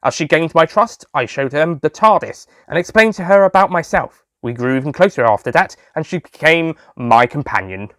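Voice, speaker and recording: A man's voice: a little high, lively intonation, normal speed, few pauses, fairly bright tone, no room sound, clean studio sound. A man playing a jolly, sentimental male sweet-shop owner who tells his own story in a fond, theatrical manner for an audio drama. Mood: fond, reminiscing.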